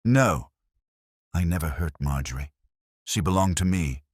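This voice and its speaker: A man acts a vain, hot-tempered male stage star in a detective audiobook. He sounds cocky and combative, in a very deep voice with animated pitch. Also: unhurried, slightly rough, no echo, studio quality, exaggerated voice. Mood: defiant.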